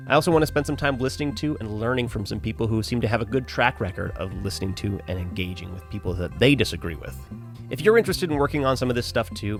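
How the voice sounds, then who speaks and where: A man's voice: on the low side, creaky. A man hosting a podcast, talking in an informal, conversational way. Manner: informal, appreciative, says "man" and "dude" often. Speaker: podcast host. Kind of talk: casual chat and informal host outro.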